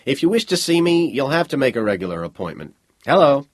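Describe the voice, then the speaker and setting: A man's voice: mid-range voice, sing-song, a little fast, voice pushed up. A man voicing an irritable, haughty male library official in an audiobook, speaking in a curt, brusque manner. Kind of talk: audiobook character voice.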